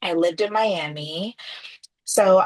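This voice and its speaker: A woman from Trinidad, a podcast guest, telling her story thoughtfully over a remote call and often pausing with "you know". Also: on the low side, a little mellow, poor audio. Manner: thoughtful, layered answers with frequent "you know". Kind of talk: reflective conversational storytelling.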